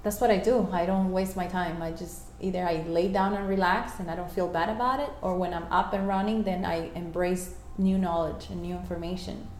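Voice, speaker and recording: A woman's voice: lowish voice, steady tone, a little fast, hardly any pauses, darkish tone. A woman whose first language is Spanish, giving earnest, motivational answers as a guest in an interview. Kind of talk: reflective interview talk on personal growth. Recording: echoey room with faint noise.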